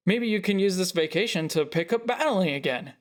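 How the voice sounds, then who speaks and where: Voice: mid-range voice, lively intonation, fairly quick, lightly acted. A narrator voicing a pushy, dismissive father of a grown daughter in a story reading. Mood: teasing. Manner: pressing and dismissive. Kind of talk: dialogue in a narrated short story.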